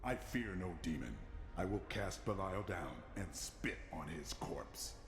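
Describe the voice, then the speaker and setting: An actor playing a grim, fearless, vengeful warrior hero in a video game, speaking in stern declarations. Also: male voice, low voice, lively intonation, unhurried, over music. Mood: grim resolve. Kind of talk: dramatic video game voice acting.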